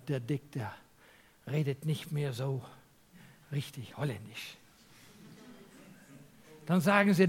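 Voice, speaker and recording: Dutch-accented preacher with wide-ranging pitch. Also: male voice. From Netherlands. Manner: emphatic, asks the congregation questions; swings from loud to near whisper. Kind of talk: sermon.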